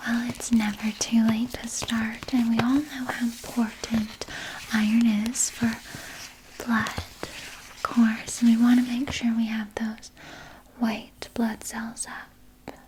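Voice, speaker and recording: A woman voicing a sly, doting vampire nurse in a breathy, soothing whisper for an ASMR roleplay. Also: fairly high pitch, level tone, background noise. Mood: soothing, caring. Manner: slow, soothing, coaxing, softly articulated. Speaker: ASMR performer.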